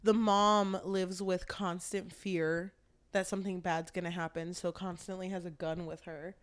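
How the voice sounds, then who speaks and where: A woman's voice: on the low side, level tone, a little slow. A woman co-hosting a book podcast in casual conversation, joking and swearing freely. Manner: irreverent, swears freely, jokey. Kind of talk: casual book discussion chat.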